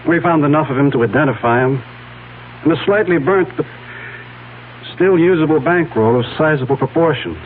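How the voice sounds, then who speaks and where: An actor playing a dry, suspicious investigator, measured and pointed, in a 1970s radio drama. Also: male voice, on the high side, animated, lightly acted. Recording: tinny old radio broadcast, faint hum.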